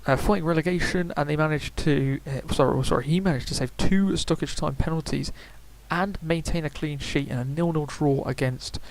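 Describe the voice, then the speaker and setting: A man's voice: on the high side, brisk, hardly any pauses, slight background noise, rough recording. A young man giving casual, rambling video game predictions, full of fillers and self-corrections. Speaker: gaming YouTuber.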